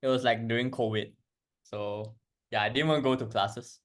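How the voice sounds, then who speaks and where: A twenty-two-year-old man from Malaysia chatting casually as a podcast guest, halting and repeating himself. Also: medium pitch, steady tone, a bit bright. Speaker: podcast guest, crypto trader. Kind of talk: casual chat.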